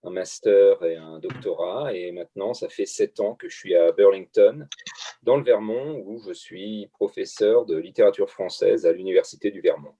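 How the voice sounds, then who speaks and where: A man's voice: deep voice, moderate pace, warm tone, slightly rough, lo-fi sound. A man from Tours, a literature professor, introducing himself hesitantly with fillers. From Tours, France. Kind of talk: casual chat.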